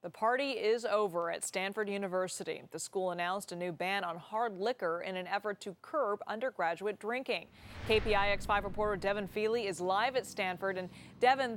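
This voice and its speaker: A woman's voice: medium pitch, moderate pace, a little hiss or hum. An American woman reading scripted local television news as an anchor in a studio.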